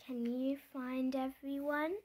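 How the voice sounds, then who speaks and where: A storybook reader going through a picture book aloud in simple, deliberate phrases, pausing and putting questions to the listener. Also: sits high, flat delivery, a little mellow. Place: indoors, book held before the camera.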